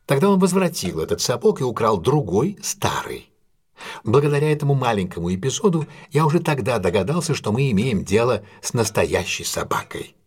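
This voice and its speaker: An actor playing a composed, analytical male detective in an audio drama, explaining things in a deliberate, self-assured way. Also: mid-range voice, big pitch swings, breathy edge. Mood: composed, explanatory. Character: composed, analytical male English detective recounting a solved case.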